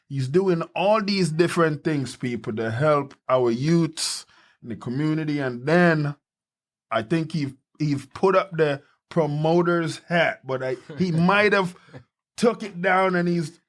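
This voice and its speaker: A man's voice: a little high, measured pace. Upbeat man hosting a podcast. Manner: energetic, repeats affirmations. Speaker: podcast host. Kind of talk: casual chat. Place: podcast studio.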